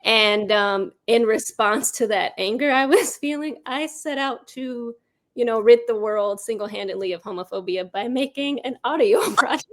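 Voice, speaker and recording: A woman's voice: fairly high pitch, normal speed, fairly bright tone. A woman speaking as an interview guest, reflective and articulate, explaining herself in long, considered thoughts. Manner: articulate, considered, elaborates at length. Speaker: writer-director interviewed as guest.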